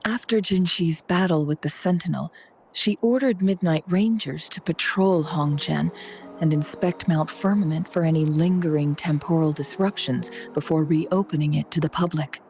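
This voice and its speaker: A poised noblewoman advisor in a video game. Her voice is fairly low and warmly mellow, with measured, gently probing delivery over faint music. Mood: calm, analytical.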